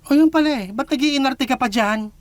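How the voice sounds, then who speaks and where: High-pitched blustering, nagging dad. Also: male voice, brisk, dry recording. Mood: bossy, teasing. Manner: scolding, needling, exclamatory. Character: blustering, nagging father, gruffly fond, comic. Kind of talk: Tagalog radio drama acting.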